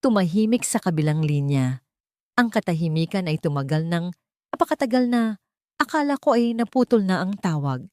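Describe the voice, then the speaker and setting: A woman's voice: fairly low pitch, expressive, normal speed, a little mellow, dry recording, studio quality. A woman narrating a dramatic story in the first person, emotional and tense, with quoted dialogue.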